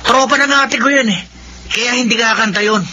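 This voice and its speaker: Very high-voiced insolent Filipino young thug. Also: male voice, fairly quick, sharp tone, full character voice. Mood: boastful. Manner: brash, surly, insolent. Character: defiant, cocky young male addict and petty thief. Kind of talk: radio drama acting. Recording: noisy radio drama recording.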